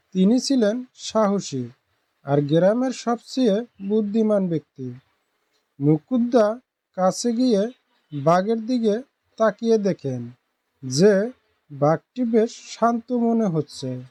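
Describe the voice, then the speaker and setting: A woman narrating a scripted village fable as a storyteller, in a measured, earnest manner. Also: mid-range voice, warm tone, dry recording.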